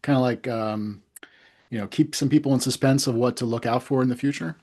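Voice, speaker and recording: A man's voice: medium pitch, brisk, darkish tone. A man interviewing a guest in a casual, informal way, with loose and tentative phrasing. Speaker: interviewer, host.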